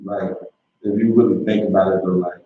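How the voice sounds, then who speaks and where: A man's voice: sits low, fairly even intonation, brisk. A man in a class discussion, talking casually with loose street phrasing. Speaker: class member reading scripture. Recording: very echoey, distant, dull recording.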